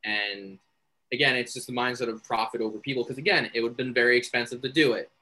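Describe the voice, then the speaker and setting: Young male co-host, earnest and emphatic, bright forceful tone. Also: medium pitch, steady tone, quick pace, poor audio. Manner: earnest, emphatic, repeats "again". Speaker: radio show co-host. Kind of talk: casual opinion talk.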